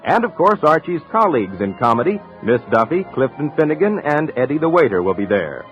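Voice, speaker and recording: A man's voice: on the high side, big pitch swings, brisk, soft background music. A man announcing in scripted 1950s radio style, reading credits and programme promos. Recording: muffled 1950s radio recording.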